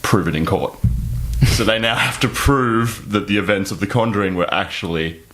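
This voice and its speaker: A man's voice: lowish voice, moderate pace, fairly bright tone. A man hosting a podcast, presenting news items in a casual, chatty way.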